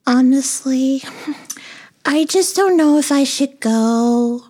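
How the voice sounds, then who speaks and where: A timid, worried little lady bee from a storybook. She moans slowly and hesitantly in a very high, soft-toned voice, unable to make up her mind. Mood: anxious, moaning. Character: timid, anxious, indecisive female bee.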